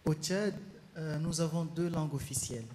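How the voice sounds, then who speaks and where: A man working as a court interpreter, talking in a rapid, hurried way and repeating phrases. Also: on the high side, dark tone, a little hiss or hum. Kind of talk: consecutive interpreting.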